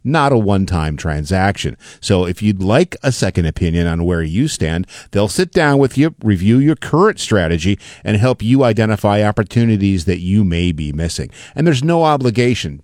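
A man with a fairly low voice delivers a conversational radio endorsement. His pitch moves around a lot as he addresses the listener. The recording is close and dry. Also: a little mellow, no room sound. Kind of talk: scripted advert read, conversational.

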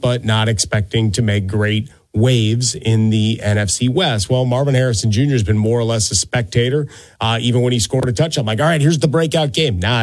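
A man's voice: mid-range voice, no room sound. A man hosting a sports talk radio show in a casual, playful, rambling monologue on a close studio microphone. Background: music.

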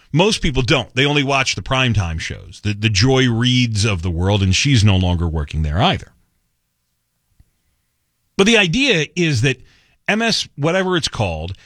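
A man's voice: medium pitch, a bit bright, no echo, studio quality. Sarcastic male talk radio host. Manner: sarcastic, mocking quotes. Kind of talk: conservative talk radio monologue. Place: radio studio. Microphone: studio mic.

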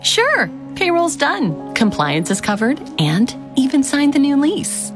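A woman acts in a business software ad, bright and playful. Her pitch moves a lot. Music plays clearly under her. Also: huge pitch swings, a little slow. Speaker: ad actor.

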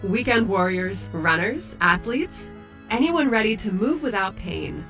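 A woman reading a scripted radio advertisement in a polished, even way. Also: mid-range voice, measured pace, soft background music. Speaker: ad announcer.

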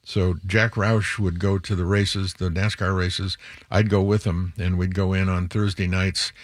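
An older man with a very low voice talks as an interview guest. He rambles a little, restarting and correcting himself as he strings together lists. The sound is dry and close, with no room echo. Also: level tone, measured pace. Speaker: museum executive guest. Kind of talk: interview conversation.

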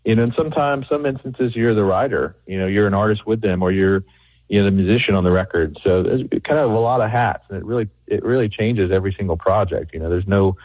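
A man's voice: on the low side, quick pace, a little mellow, slightly rough, no room sound, poor audio. A middle-aged man, a record producer, talking in an interview with many restarts and fillers. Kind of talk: conversational interview.

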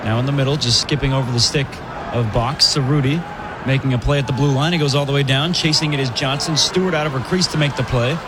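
A man calling live women's college hockey play-by-play, brisk and chatty, laughing easily between plays. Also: medium pitch, steady tone, noisy background. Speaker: play-by-play commentator.

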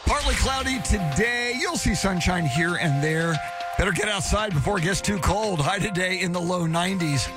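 A man's voice: sits high, animated, moderate pace, music in the background. A man hosting morning radio news, chatting and joking with his co-host before reading the weather. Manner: chatty, joking, self-deprecating. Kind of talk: casual banter and news reading.

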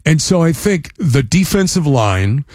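Male radio analyst from Wisconsin, measured and thoughtful, dry studio sound. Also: fairly high pitch, very animated, a little mellow, no echo. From Wisconsin, USA. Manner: thoughtful, works through points as he goes. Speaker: sports radio co-host. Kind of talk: casual sports analysis.

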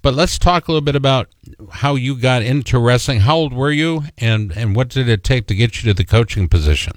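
A man's voice: medium pitch, brisk, no room sound. A man hosting a casual interview show, jokey and loose with fillers. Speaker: podcast host.

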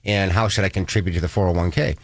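Middle-aged male advisor, low voice, patient step-by-step explainer, dry sound. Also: steady tone, fast, dry recording. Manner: explanatory, builds examples step by step. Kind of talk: casual radio chat.

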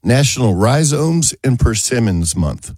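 A man's voice: mid-range voice, big pitch swings, a little slow, darkish tone, slightly rough, no echo, clean studio sound. A man hosting a gardening radio show while getting over a cold, chatting casually and joking between chuckles. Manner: joking, rambling, chuckles often. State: has a cold.

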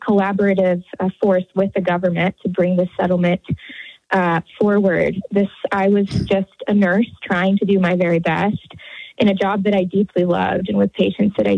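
A woman in her thirties with an American accent, giving an earnest, halting personal account as a radio interview guest. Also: medium pitch, vocal fry, slightly rough. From Houston area, Texas, United States. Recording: narrow phone-like line, roomy echo.

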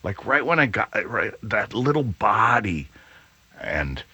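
Middle-aged male radio host, booming and animated, with a bright, husky tone and a lively pitch. He rambles and restarts as he chats. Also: takes it slowly, dry recording. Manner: rambling, self-interrupting, laughs at own jokes. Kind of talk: casual storytelling chat.

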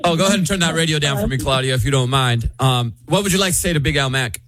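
A man's voice: medium pitch, very fast, fairly bright tone, no room sound, studio quality. A man co-hosting a radio show, chatting casually and teasing callers in a playful way.

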